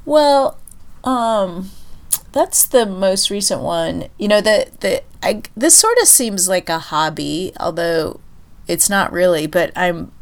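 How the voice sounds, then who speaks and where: A woman co-hosting a podcast, chatting casually and thinking aloud with many pauses and fillers, her voice stuffy and nasal from a cold. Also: medium pitch, lively intonation. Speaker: podcast co-host. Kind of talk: casual chat.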